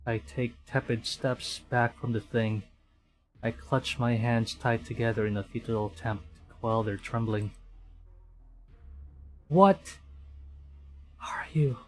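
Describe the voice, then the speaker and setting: A man's voice: medium pitch, steady tone, slow, pauses often. A man live streaming a game playthrough, reading text aloud and adding hesitant, stammering asides. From Philippines. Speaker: VTuber streamer. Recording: music behind the voice. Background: game music.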